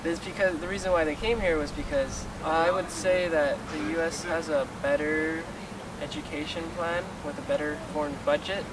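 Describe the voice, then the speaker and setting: A man's voice: fairly high pitch, fairly even intonation, moderate pace. A young man, a student, answering a street interview earnestly, his voice leaping upward on key points. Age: young adult. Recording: distant mic, loud street noise.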